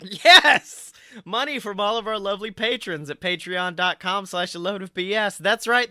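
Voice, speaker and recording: A man with a high, bright voice hosts a casual podcast. He talks in playful, slangy banter, recorded close and dry with no room sound. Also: no room sound. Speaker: podcast host.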